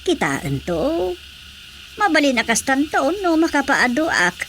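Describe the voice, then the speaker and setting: A woman's voice: sits high, very animated, unhurried, fairly bright tone, slight background noise, full character voice. An actress with an Ilocano accent playing a chatty, teasing village woman who loves gardening in a radio drama comedy. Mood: chatty, encouraging.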